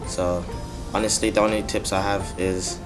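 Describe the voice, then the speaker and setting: A teenage boy with a low voice for his age gives casual interview answers as a drama student. His intonation stays flat, and music is clearly audible behind him. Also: normal speed.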